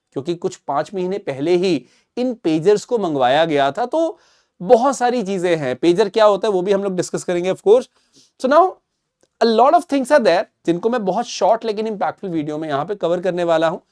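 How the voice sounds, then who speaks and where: A man's voice: high voice, a little mellow. An Indian man presenting current affairs like a teacher, emphatic and didactic, slipping between Hindi and English phrases. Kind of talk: explanatory news commentary for students.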